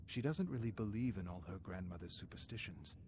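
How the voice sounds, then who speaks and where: A man's voice: sits low, expressive, brisk, noisy background. A man reading scripted fiction as a narrator, with a dramatic storytelling delivery.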